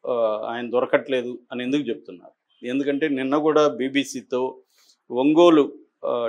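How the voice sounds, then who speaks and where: Telugu man explaining the news, halting delivery. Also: medium pitch. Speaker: news analyst. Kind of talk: conversational political commentary.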